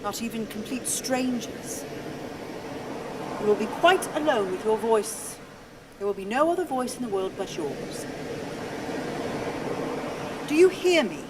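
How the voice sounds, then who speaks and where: An actress playing a weary, cool, matter-of-fact wife in a radio play, speaking in measured, detached phrases. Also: female voice, expressive. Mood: cool, reproachful. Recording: distant from the mic, noisy ambient rumble.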